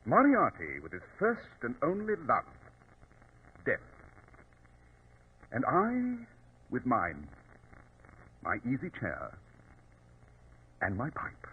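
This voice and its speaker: An actor playing a self-assured, dryly superior detective, speaking precisely in 1940s radio drama style. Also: male voice, mid-range voice, huge pitch swings, slow, pauses often, breathy edge, full character voice. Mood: wry, reflective. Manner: precise, explanatory, theatrical. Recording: tinny old radio recording.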